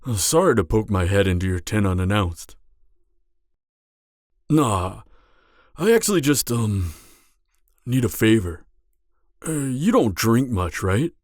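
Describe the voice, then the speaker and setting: A man's voice: lowish voice, big pitch swings, measured pace, long pauses, a little mellow, dry recording, studio quality. A man playing an anxious, awkward, slightly drunk part-giant mercenary in an intimate fantasy audio roleplay, hesitant and stammering. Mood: sheepish, hesitant. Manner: hesitant, stammering, trailing off, sighing.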